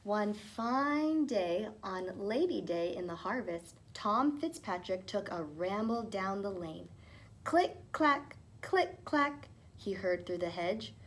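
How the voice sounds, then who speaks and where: A woman's voice: medium pitch, takes it slowly, slight background noise. A woman narrating a children's storybook as a teacher reading aloud.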